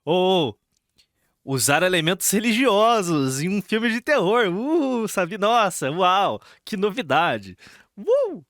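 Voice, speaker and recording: Brazilian male streamer, fairly high voice in a dry, close recording, reacting off the cuff with slang and repetition. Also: very animated, takes it slowly, a bit bright, no room sound. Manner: slangy, repeats phrases, sarcastic asides. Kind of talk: casual live reaction commentary.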